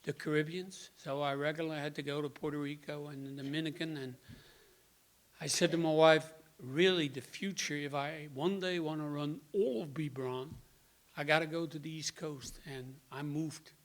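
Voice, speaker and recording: A man in his sixties speaks with a Dutch accent, his voice sitting a little higher than most men's. He tells his story in a plain, halting way, stopping often to search for words. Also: fairly even intonation. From Netherlands. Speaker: guest speaker. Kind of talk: personal testimony.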